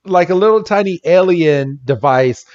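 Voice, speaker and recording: A man co-hosting a podcast in a casual, halting recap style, acting out lines as he goes. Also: sits high, expressive, darkish tone, dull audio. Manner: halting, acts out characters' lines. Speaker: podcast co-host. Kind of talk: casual episode recap chat.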